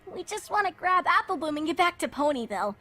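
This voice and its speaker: Wary young cartoon pony girl: very high, bright, childlike, earnest, storybook delivery over music. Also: sing-song, fast talker, full character voice. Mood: uneasy. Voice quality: childlike, squeaky. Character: scared suspicious young filly ponies, small and earnest. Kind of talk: dramatic fan fiction reading.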